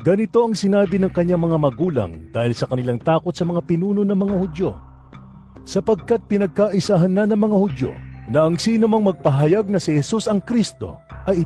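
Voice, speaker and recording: A man's voice: sits high, dark tone. A man giving scripted narration of scripture as the narrator.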